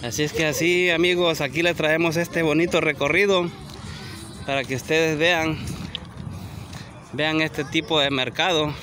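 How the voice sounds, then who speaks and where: A man's voice: on the high side, steady tone, measured pace, long pauses, noisy background. A man casually vlogging a walk through an outdoor flea market, talking straight to his viewers on a handheld phone camera. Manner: chatty, addresses viewers directly, asks for likes. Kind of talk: casual walk-and-talk vlog. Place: outdoor flea market, sunny gravel lot.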